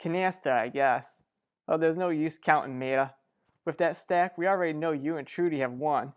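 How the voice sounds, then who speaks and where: A man's voice: fairly high pitch, moderate pace, lightly acted. Wry, impatient young husband played by a man. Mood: casual, resigned. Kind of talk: radio soap drama acting.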